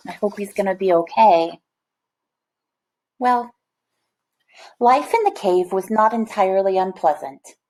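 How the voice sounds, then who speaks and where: A woman's voice: fairly low pitch, warm tone, low-quality recording. A woman reading a children's picture book aloud, expressively, with chatty asides to young listeners. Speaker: storybook reader.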